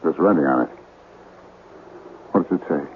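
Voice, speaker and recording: A man's voice: rumbling low, moderate pace, narrow, tinny audio, slight background noise. An actor playing an earnest, uneasy man in a radio drama, heard on an old radio recording. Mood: puzzled. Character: earnest, shaken male house buyer confiding in ghost hunter.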